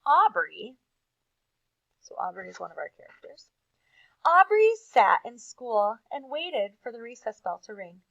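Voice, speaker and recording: A woman reading aloud to children as a teacher, with expressive emphasis and casual asides. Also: fairly high pitch, unhurried, creaky, no room sound, lo-fi sound.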